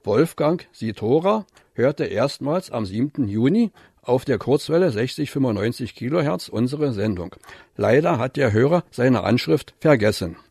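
A man's voice: mid-range voice, lively intonation, normal speed, gravelly, a little mellow, breathy edge, dry recording, clean studio sound. A man presenting listener mail on radio, reading from a script in a formal, list-like manner.

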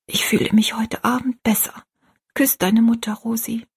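A woman voicing a frail, bedridden mother who speaks gently in a weak, breathy whisper. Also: lowish voice, moderate pace, full character voice.

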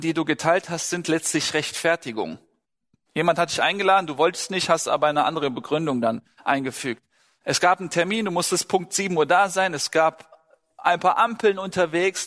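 A man's voice: a little high, a bit bright. A man preaching to a congregation in a conversational, questioning way. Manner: conversational, asks listeners questions, acts out short dialogues. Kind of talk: sermon to a congregation. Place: large hall, speaking to a gathering. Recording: large hall with long reverb.

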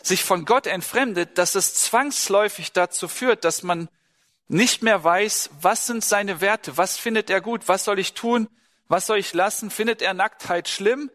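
A man's voice: sits high, measured pace, a bit bright. A man preaching a sermon in a conversational way, asking the congregation questions and telling personal stories. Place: church hall. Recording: long hall reverb.

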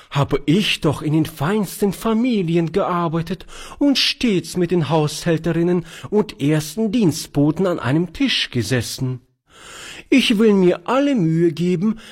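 A man's voice: on the high side, slightly rough, voice pushed up, exaggerated voice. A man voicing a fussy, prim old spinster aunt who is easily alarmed.

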